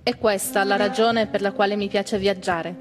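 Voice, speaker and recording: A woman's voice: mid-range voice, steady tone, moderate pace. A woman performing a literary monologue as a reader in a theatre piece. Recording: voice over instrumental music.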